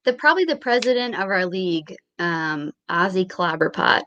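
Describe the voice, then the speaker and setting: A woman's voice: on the low side, very animated, moderate pace, a bit bright, dull audio, slight background noise. A woman guest in a casual interview chat, talking in an offhand way and laughing between sentences. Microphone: video call.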